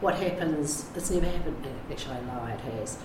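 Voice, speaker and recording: A woman's voice: deep voice, brisk. A middle-aged woman, talking frankly about addiction recovery in an interview, full of fillers and restarts. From New Zealand. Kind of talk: candid interview talk. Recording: echoey room with background noise.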